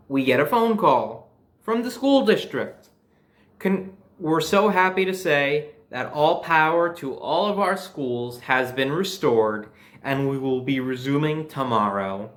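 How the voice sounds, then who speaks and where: A young man with an American accent and a medium-pitched voice. He tells a personal story in a casual, conversational way. He leans on certain words for emphasis, like someone recounting events to friends. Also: animated, measured pace, a bit bright. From New Jersey, United States. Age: young adult. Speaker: storyteller, host.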